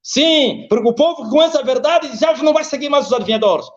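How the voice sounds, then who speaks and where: A man's voice: fast talker, lo-fi sound. A man, preaching emphatically on a live video call and quoting scripture. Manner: emphatic, swings high and low, quotes scripture. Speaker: apostle, preacher.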